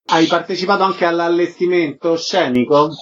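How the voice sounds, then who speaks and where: A man's voice: fairly high pitch, fairly even intonation, moderate pace, a bit bright, dull audio. A man hosting a radio programme, asking concise questions and making formal announcements. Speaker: radio host and interviewer.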